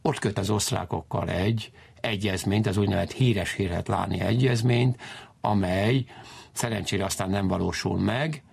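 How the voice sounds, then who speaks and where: A man explaining history informally in an interview, emphatic and digressive, often repeating his key points, with a gravelly, husky voice. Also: on the low side, a little mellow.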